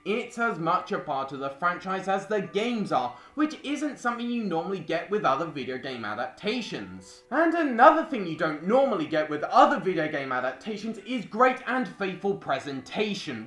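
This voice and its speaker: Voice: mid-range voice, big pitch swings, normal speed, hardly any pauses, a bit bright. A young adult reading a scripted video essay as a film reviewer, with music behind. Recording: roomy voice-over with music behind.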